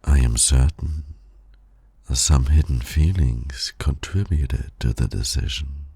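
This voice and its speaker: A man playing a suave, flirtatious villain in an ASMR romance roleplay, teasing in silky, formal, condescending words with a gravelly, breathy voice. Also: rumbling low, slow, dark tone, no room sound, exaggerated voice. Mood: knowing, teasing. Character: suave male villain flirting with heroic nemesis.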